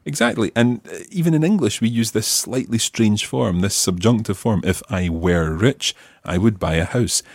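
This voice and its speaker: A man's voice: fairly low pitch, measured pace, vocal fry, few pauses, breathy edge, no echo, studio quality. A man hosting a language lesson podcast, explaining grammar patiently and encouragingly. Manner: patient, encouraging, lively intonation. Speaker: teacher and podcast host.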